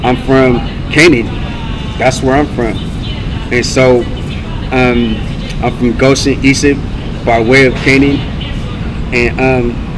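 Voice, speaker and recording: An American man from California giving a rambling personal monologue in a halting, repetitive, earnest manner. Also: mid-range voice, noisy background. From United States (California).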